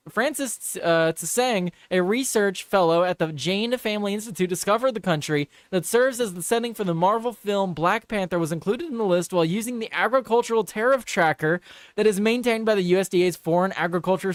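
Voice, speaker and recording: A young man hosting a casual live quiz show, talking in a smooth, clean voice. He reacts to each headline with chatty repetition and the odd swear word. The sound is close and dry, with no room around it. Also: high voice, fairly quick, no echo. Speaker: livestream host.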